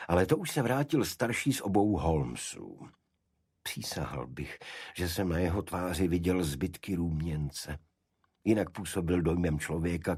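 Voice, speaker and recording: A man narrating a scripted detective story in a measured, dramatic storytelling manner. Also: extremely low pitch, darkish tone, breathy edge, dry recording, clean studio sound. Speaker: audiobook narrator.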